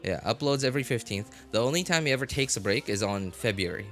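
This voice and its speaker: A man streaming a game live, chatting casually and haltingly as he thinks aloud. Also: mid-range voice, expressive, a bit bright. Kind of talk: casual rambling livestream chat. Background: music.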